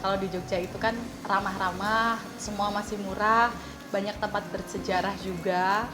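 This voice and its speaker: A woman's voice: medium pitch, fairly even intonation, normal speed. A woman from Yogyakarta answering interview questions in an upbeat, enthusiastic way.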